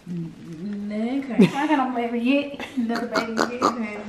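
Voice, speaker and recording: A woman's voice: on the high side, slow, crowd noise. A woman co-hosting a casual cooking vlog, chatting playfully as she cooks. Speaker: vlog co-host. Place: home kitchen, indoors. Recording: echoey kitchen with noise.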